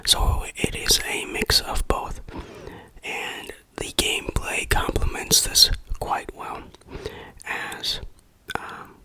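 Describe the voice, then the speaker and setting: A reviewer speaks entirely in a breathy ASMR whisper. The delivery is slow and measured, with a bright, sharp edge to the unvoiced sound. The phrasing is informal, like someone talking through their opinions. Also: poor audio. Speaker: game reviewer and ASMR host.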